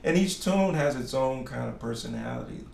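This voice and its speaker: A man chatting casually as interviewer and band member, laughing often and tossing in quick affirmations. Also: medium pitch, lively intonation, vocal fry, darkish tone. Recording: roomy distant mic with faint noise.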